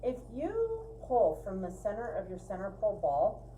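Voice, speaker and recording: A woman's voice: medium pitch, wildly swooping pitch, normal speed, mellow, slight background noise, low-quality recording. A woman co-hosting a livestream, giving a casual craft tutorial in a chatty, emphatic way. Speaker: co-host of a fiber arts livestream. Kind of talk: casual spinning tutorial chat.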